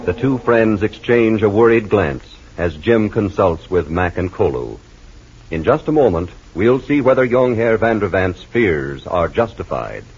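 A man's voice: on the low side, slightly rough. A man announcing and narrating in 1940s radio style, with an emphatic salesman's delivery. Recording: muffled old radio recording.

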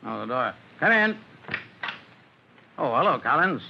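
A man acting a gruff, fatherly American fire captain in an old-time radio drama, on a noisy vintage recording. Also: mid-range voice, very animated, unhurried, dull audio. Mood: kindly, measured.

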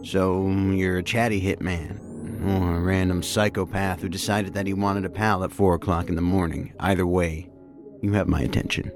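Guy as chill deadpan fed agent in audio drama, deep voice, dry wit, music in the back. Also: normal speed, close to an everyday voice. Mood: calm, wary. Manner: calm, deadpan. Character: unflappable, dry-witted male federal agent. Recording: music under the voice.

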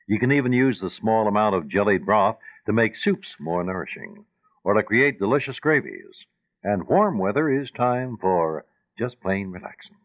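Low-voiced radio ad announcer, animated intonation. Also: male voice. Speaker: commercial announcer. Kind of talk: scripted radio advertisement read. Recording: tinny old radio broadcast.

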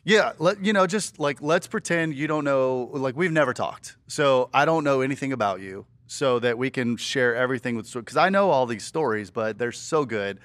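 A man hosting a podcast interview in a relaxed, halting way, with plenty of fillers. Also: mid-range voice, dry recording. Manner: laid-back, hesitant, many fillers. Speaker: podcast host. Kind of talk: casual interview.

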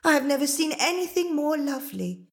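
Woman voicing a sweet, high-pitched kind girl. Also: expressive, a little fast. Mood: admiring, helpful.

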